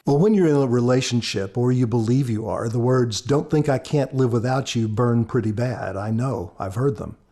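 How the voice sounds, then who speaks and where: Husky, dark-voiced man, earnest commentary. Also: medium pitch, moderate pace, hardly any pauses. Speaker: author and podcast guest commentator. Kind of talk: reflective commentary on his own story.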